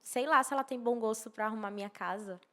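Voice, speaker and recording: Higher-voiced woman, emphatic. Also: quick pace, a bit bright. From Maceió, northeastern Brazil. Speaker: podcast guest, architecture and social media professional. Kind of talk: conversational podcast explanation.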